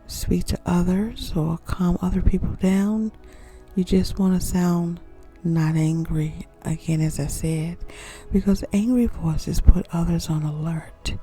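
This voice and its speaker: A woman speaks in a slow, breathy whisper, her voice mellow and a little low. She teaches gently and unhurriedly, repeating key phrases. Faint music plays underneath. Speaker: ASMR channel host. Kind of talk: soft-spoken ASMR teaching.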